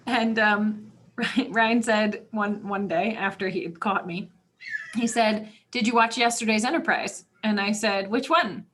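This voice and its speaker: A woman's voice: mid-range voice, unhurried, fairly bright tone. A woman co-hosting a podcast, chatting casually and telling anecdotes in a playful way, with some noise and clicking behind her. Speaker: podcast co-host. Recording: clear background noise with clicks.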